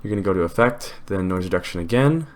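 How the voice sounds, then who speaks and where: A man hosting a casual software tutorial, explaining informally step by step. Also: low voice, expressive, quick pace. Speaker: tutorial host.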